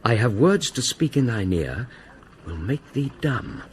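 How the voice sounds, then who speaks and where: Dramatic prince, highly animated. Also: male voice, unhurried, a little hiss or hum. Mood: urgent, secretive. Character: princely adventurer reading out his urgent letter. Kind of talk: classical Shakespearean acting.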